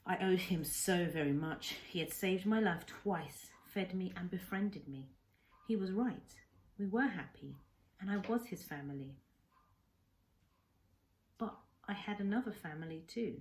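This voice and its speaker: A woman teacher reading a children's novel aloud as an expressive narrator. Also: lowish voice. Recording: faint background noise and ambience.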